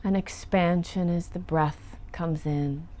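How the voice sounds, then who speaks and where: A woman leading a guided meditation as a teacher, speaking gently and soothingly and lingering on her phrases. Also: fairly low pitch, steady tone, slow pace, slight background noise.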